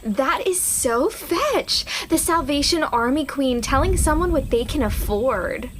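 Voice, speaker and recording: A woman as high, drawling teenage mean girl. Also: expressive, unhurried, slight background noise. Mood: mocking. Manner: drawling, scornful. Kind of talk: audio drama acting.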